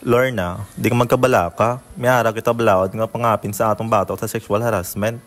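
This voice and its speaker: A man's voice: lowish voice, steady tone, brisk, lightly acted. A man playing a reassuring older male co-worker in an amateur radio drama, with a Filipino accent. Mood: reassuring, earnest. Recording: noisy amateur recording with clicks.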